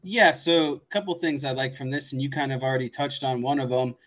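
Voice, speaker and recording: A man's voice: medium pitch, steady tone, fairly quick, fairly bright tone. A man co-hosting a sports show, laying out casual analysis point by point in an explanatory way. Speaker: sports podcast co-host.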